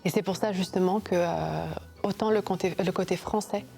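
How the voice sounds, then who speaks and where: A fado singer, a woman from Portugal's Algarve, telling her story in an unhurried, reflective way in a documentary interview. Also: lowish voice, steady tone, gravelly, mellow.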